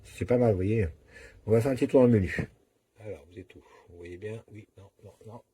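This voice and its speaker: A man with a fairly low, husky voice reviews a product off the cuff. His delivery is casual and colloquial, and he thinks aloud while showing how things work. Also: a little fast, mellow.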